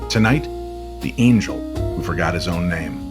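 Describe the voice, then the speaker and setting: A man reading scripted story narration in an old-time radio style, measured and dramatic. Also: low voice, expressive. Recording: music bed under the voice. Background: music.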